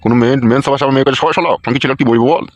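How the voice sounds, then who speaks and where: A man voicing a grumpy, foul-mouthed older father-in-law in a comedy cartoon, scolding gruffly in a rural West Bengal accent. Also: mid-range voice, rapid-fire, no echo, lightly acted. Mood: angry, contemptuous.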